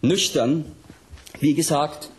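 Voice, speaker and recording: High-pitched, ranting southern German punk author. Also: male voice, takes it slowly, slight background noise, poor audio. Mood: scornful, excited. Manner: vehement, colloquial, declamatory. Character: ranting, tormented, provocative male punk author reading aloud. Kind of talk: literary monologue in a radio drama.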